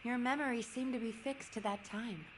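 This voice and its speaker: A woman playing a brisk, businesslike commander in a sci-fi video game, speaking in a direct, measured, formal way. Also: a little high, steady tone, noisy background, close to an everyday voice. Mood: astonished, careful. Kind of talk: scripted video game dialogue.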